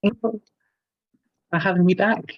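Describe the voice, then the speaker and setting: An Englishman introduces himself in a fairly high voice with a wry, measured delivery. The line is dry and somewhat muffled. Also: steady tone, no room sound. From England. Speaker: online club president.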